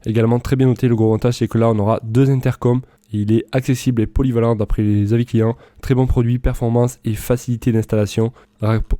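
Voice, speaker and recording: Give the voice of a man doing a casual product review voice-over. His tone is mellow and soft-edged, recorded very dry with no room sound. He explains things informally, as if improvising from loose notes. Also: medium pitch, no echo. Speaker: presenter of product comparison videos.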